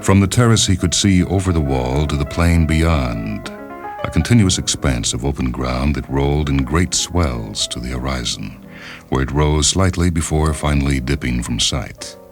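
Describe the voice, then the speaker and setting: A man reading a literary short story as a radio host, in a measured, deliberate and formal manner, on a 1970s radio recording. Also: very deep voice, expressive. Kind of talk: scripted literary narration. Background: music bed behind the reading.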